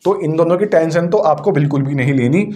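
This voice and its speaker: A man's voice: fairly high pitch, a little fast, dark tone. A man teaching informally as an exam coach, explaining things step by step and often checking in with the listener. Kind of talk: informal exam-preparation lecture.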